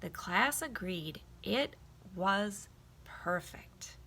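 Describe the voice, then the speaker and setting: A woman reading a children's picture book aloud in an expressive, emphatic storytelling style. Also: medium pitch, very slow, slight background noise.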